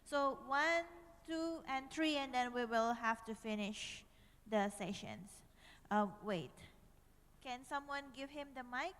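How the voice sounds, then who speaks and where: A woman's voice: sits high, slow, fairly bright tone. A woman moderating a question session, polite and directing as she keeps the speakers in order. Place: large conference hall. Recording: reverberant conference hall.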